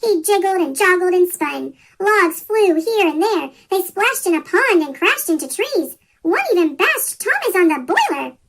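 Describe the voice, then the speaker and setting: A children's story reader with a pitch-shifted chipmunk voice, reading a picture book aloud from a script. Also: very animated, normal speed, few pauses, a bit bright. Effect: sped-up chipmunk pitch shift.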